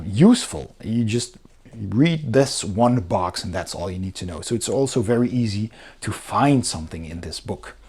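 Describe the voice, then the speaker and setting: A man's voice: medium pitch, dark tone, slightly rough. A man giving a casual, conversational review, explaining things step by step as a host. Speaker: board game reviewer and channel host.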